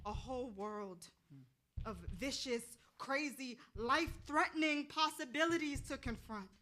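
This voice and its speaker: An actress plays a bitter woman who drinks hard and refuses to go out. Her voice is high and forceful, and her stage delivery is slangy, profane and defiant. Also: a little slow, slight background noise. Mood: agitated. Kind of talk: theatrical staged reading.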